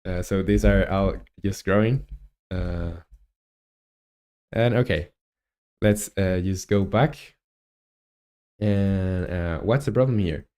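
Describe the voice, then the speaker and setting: A young man with a Chilean Spanish accent talks through code on a live stream, halting often to think. His speech is unhurried and his voice sounds smooth and resonant. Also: low voice, darkish tone. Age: 20s. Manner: halting, thinking aloud with many fillers. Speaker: game developer streamer. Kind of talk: live coding stream commentary.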